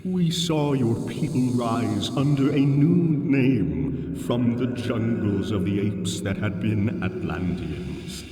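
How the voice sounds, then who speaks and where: An actor playing an ancient, enslaved alien god in a fantasy audio drama, solemn and sorrowful, with grandiose archaic phrasing. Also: male voice, mid-range voice, slow, hardly any pauses, dark tone, slight background noise, lightly acted. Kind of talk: full-cast fantasy audio drama monologue. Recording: cavernous echoing reverb, distant, music underneath. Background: music.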